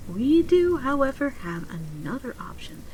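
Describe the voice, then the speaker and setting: A woman playing a polite, genteel pet shop saleswoman in a soft-spoken ASMR roleplay, measured and often near a whisper. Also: high-pitched, sing-song. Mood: informative, reassuring. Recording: clearly audible ambient background noise.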